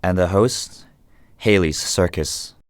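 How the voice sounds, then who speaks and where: A man's voice: sits low, takes it slowly. A man playing a grim, brooding vigilante who narrates a radio drama in terse phrases.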